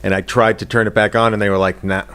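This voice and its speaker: A man's voice: fairly low pitch, level tone, fast talker, a bit bright, smooth. A middle-aged American man chatting casually as an interview guest, telling anecdotes and acting out quotes with loose, swearing speech. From United States, Boston area. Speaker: stand-up comedian, interview guest.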